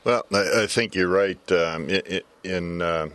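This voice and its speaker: An older man talking baseball as a color commentator in a casual panel chat, halting and deliberate, often repeating words. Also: deep voice, a bit bright, no echo. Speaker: color commentator, former coach. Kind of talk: casual sports panel discussion.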